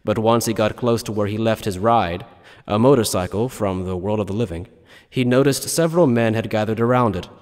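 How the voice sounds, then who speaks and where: A man's voice: on the low side, brisk, a little mellow. A man reading scripted dramatic audiobook narration. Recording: long reverberant tail on voice.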